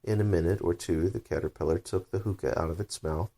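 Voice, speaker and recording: Low-voiced man narrating a bedtime story. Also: steady tone, fast talker, vocal fry, mellow.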